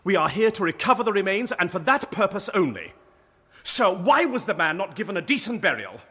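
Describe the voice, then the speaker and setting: An actor playing a rational, commanding English gentleman in a period radio drama, formal, articulate and emphatic. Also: sits high, very animated, brisk, breathy edge, no echo, full character voice. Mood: formal, indignant.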